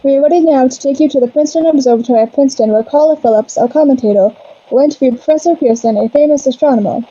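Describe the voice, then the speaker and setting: High, brisk radio announcer woman played by an actress. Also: warm tone, muffled recording, soft background music, natural voice. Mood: businesslike. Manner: formal announcing. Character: brisk, formal female radio studio announcer. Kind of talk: 1930s radio news bulletin acting.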